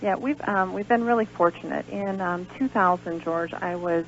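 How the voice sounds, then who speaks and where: A woman talks as a phone-in radio guest. Her voice is fairly low with heavy vocal fry, and the line is dull. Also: moderate pace, slight background noise. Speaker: interview guest, pageant director. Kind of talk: unscripted radio phone interview. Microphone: telephone.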